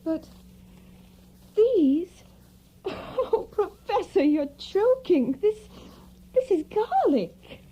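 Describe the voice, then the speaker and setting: An actress playing a sweet, trusting young gentlewoman in an audio drama, gentle, breathy and wistful. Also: very high-pitched, sing-song, drawn-out words, long pauses, full character voice. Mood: surprised, puzzled. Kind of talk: audio drama acting, diary narration. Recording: dull, muffled audio drama recording.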